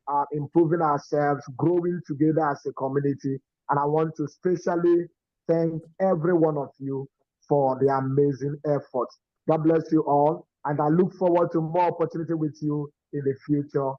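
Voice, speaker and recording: Man, slightly high voice, dry muffled meeting audio, reassuring, repetitive, community leader address. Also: dry recording. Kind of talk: informal thank-you address on a video meeting. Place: online video meeting. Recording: dull lo-fi online meeting audio.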